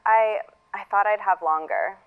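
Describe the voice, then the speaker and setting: An actress playing a weary, regretful but resolute woman in an audio drama, speaking a halting, reflective confession. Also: mid-range voice, clear, resonant tone, narrow, tinny audio, lightly acted. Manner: halting, reflective, with sighs and pauses.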